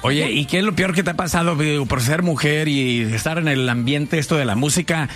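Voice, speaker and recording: A man's voice: on the high side, fairly quick, a bit bright, faint music behind. Joking man hosting radio. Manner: joking, playful, plays with names. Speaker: radio host. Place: radio studio booth.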